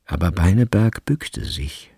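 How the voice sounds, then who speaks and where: A man's voice: deep voice, animated, measured pace, dark tone, breathy edge, no echo, studio quality. A man narrating a literary radio drama as a scripted storyteller.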